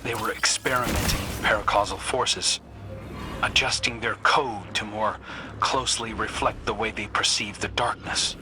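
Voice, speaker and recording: Dry, watchful scout under loud music, a man acting. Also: lowish voice, steady tone, moderate pace, close to an everyday voice. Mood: wry, thoughtful. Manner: casual, conversational, dry. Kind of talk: video game mission dialogue.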